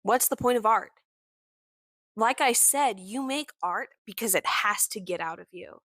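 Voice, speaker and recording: Candid young woman with a warm, deeper voice. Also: expressive, measured pace, no room sound, clean studio sound. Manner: candid and impassioned, with frequent "like" fillers and mild swearing. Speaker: podcast host. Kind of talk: casual personal monologue.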